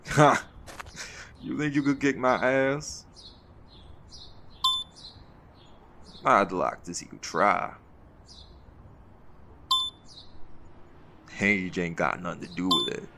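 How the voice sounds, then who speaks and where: Man acting a deep, gravelly folksy hunt leader. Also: unhurried, noisy background. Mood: playful challenge. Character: folksy, seasoned male hunt leader, fond tease. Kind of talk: audio roleplay acting.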